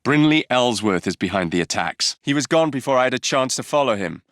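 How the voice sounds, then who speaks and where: A man's voice: medium pitch, animated, brisk, fairly bright tone, no room sound, studio quality, natural voice. A young man with an English accent playing a cocky, roguish Victorian gang leader in a video game, wry and sardonic. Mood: sardonic, determined. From England. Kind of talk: scripted game character lines.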